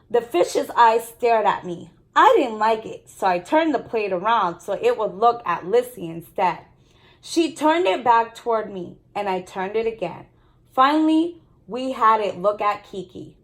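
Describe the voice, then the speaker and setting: Female storybook reader, fairly high voice, expressive delivery for young listeners. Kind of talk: children's story read-aloud with brief commentary.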